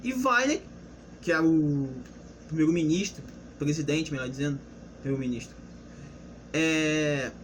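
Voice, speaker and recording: A man's voice: fairly high pitch, a little slow, pauses often, slight background noise. A young man giving a casual, unscripted history lesson, explaining loosely with restarts and rhetorical questions. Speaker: history presenter.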